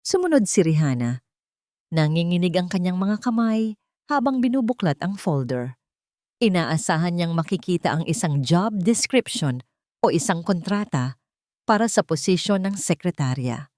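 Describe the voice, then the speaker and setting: A woman's voice: fairly low pitch, sing-song, normal speed, darkish tone, no echo, clean studio sound. A woman reading a scripted romance story as a dramatic narrator.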